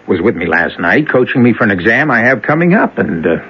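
A man's voice: lowish voice, sing-song, brisk, breathy edge, heavily acted. An actor playing an evasive, secretive young man in a 1970s radio drama, speaking defensively. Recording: lo-fi noisy vintage radio broadcast.

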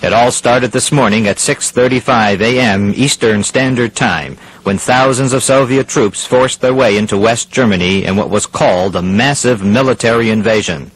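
A man's voice: medium pitch, normal speed, few pauses, slight background noise, close to an everyday voice, rough recording. A man playing a serious radio news anchor, reading a formal scripted crisis bulletin. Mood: grave, dramatic.

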